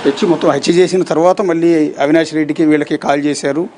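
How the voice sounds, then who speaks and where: A man's voice: a little high, steady tone, quick pace, a little mellow, slight background noise. A man working as a television news interviewer, putting probing questions and checking statements point by point.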